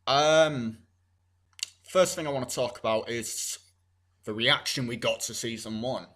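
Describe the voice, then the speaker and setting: A man's voice: medium pitch, measured pace, a bit bright. A teenage boy, co-hosting a podcast in casual chat, blunt and rambling with plenty of swearing. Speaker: podcast co-host.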